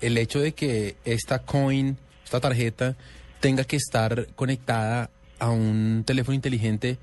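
A man's voice: mid-range voice, steady tone, moderate pace, darkish tone, slight background noise. A man hosting a talk radio show, chatty and hesitant, often repeating words.

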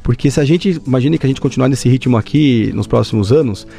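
Earnest man with a mellow voice. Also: medium pitch, gravelly, faint music behind. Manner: earnest, measured, many hesitations. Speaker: company founder, guest. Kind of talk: interview answers.

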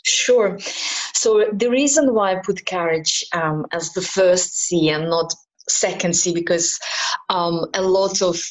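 A woman from Ukraine and Kazakhstan with a slightly low voice talks as a guest on a podcast. She explains her ideas in a thoughtful way and often pauses on "um.". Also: rough recording. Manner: thoughtful, explanatory, many ums. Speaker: relationship mentor, guest. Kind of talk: interview answers.

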